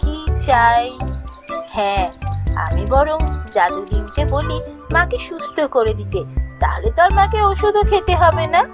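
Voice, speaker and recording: A woman's voice: high voice, lively intonation, unhurried, music in the background. A woman voicing a cheerful young village girl who talks in an eager, childlike way.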